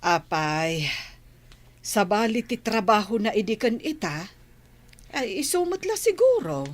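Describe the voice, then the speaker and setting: An actress playing a concerned, gently prying older mother of a grown daughter in a radio drama. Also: medium pitch, sing-song, a little slow. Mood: wondering, concerned. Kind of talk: Philippine radio drama acting.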